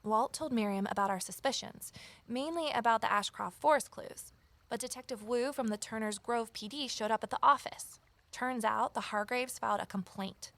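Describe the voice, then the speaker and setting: An actress playing a wary, determined amateur sleuth in a naturalistic audio drama, conversational and gently wry. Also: female voice, on the high side, normal speed, gravelly, no echo. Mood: earnest, concerned. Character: Evelyn, wary determined former journalist turned amateur sleuth. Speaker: lead character and first-person narrator.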